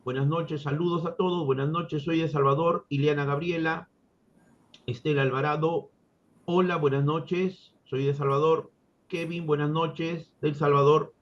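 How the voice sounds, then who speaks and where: A man formally hosting an online film forum, introducing the event with ceremonious, deliberate phrasing. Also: on the high side, steady tone. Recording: dull, lo-fi audio.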